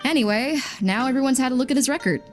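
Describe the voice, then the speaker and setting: A woman's voice: sits high, quick pace, natural voice. A woman voicing a young, lazy, blunt bathhouse worker over faint game music. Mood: explaining. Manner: blunt, grumbly.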